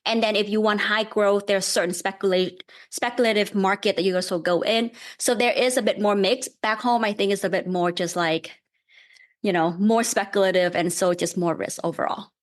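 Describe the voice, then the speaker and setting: Brief: woman in her 30s, Vietnamese accent, mid-pitched, patient and friendly, unpacks ideas step by step. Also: steady tone, moderate pace. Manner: friendly, thoughtful, explains things step by step. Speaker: guest real estate investor. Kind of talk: casual podcast interview.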